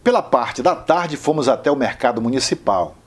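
A man narrating a travel show about local food in a measured, descriptive way. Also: mid-range voice, expressive, background noise.

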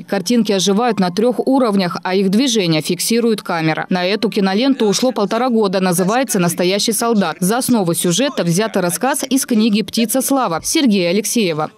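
A woman works as a news reporter, reading prepared voice-over narration. Her delivery is that of a scripted television report, with a mid-pitched voice. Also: a little mellow.

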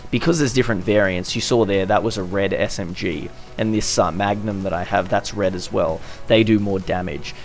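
A young man with an Australian accent gives loose, chatty voice-over commentary on a game. His voice sits low with a gravelly fry, and he stumbles and laughs at his own slips. Faint music plays quietly underneath. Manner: chatty, self-correcting with little laughs. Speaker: gaming YouTuber. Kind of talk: casual voice-over commentary over gameplay.

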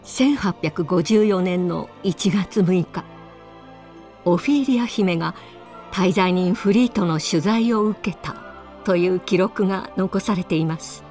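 Measured female history narrator played by an actress. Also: on the low side, darkish tone, soft background music, lightly acted. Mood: calm, solemn. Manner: formal, measured storytelling. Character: studious female teacher recounting history. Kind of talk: scripted narration in a Japanese video game.

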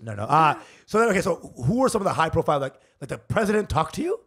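Husky joking podcast host. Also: male voice, fairly high pitch, sing-song, very fast, fairly bright tone. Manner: rambling, joking, many fillers. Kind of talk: casual podcast chat. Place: small studio room. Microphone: studio mic on boom arm with headphones.

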